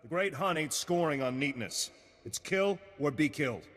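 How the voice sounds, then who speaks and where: Goading, echoing weapons instructor. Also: male voice, a little high, animated, normal speed, fairly bright tone. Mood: taunting. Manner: blunt, folksy, goading. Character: ferocious, taunting male weapons instructor. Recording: long reverb.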